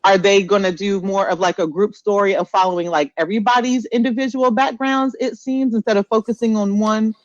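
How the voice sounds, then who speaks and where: Animated woman chatting, slightly muffled dry sound. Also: medium pitch, brisk, few pauses, no echo. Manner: animated, slangy, exclamatory. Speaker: podcast co-host. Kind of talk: casual chat on a pop culture podcast.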